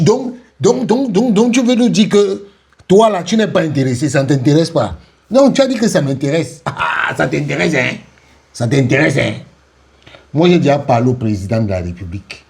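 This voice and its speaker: A man's voice: a little high, gravelly, darkish tone. A man giving sarcastic, taunting commentary on an interview, repeating phrases and laughing with scorn. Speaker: commentator reacting to an interview clip. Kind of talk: mocking reaction commentary.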